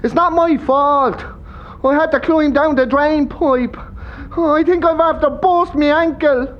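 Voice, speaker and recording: Man playing high-voiced hapless Irish lad, muffled. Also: unhurried. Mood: whining. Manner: whining, excuse-making. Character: pleading, hapless young Dublin lad, would-be rebel. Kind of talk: audio play acting.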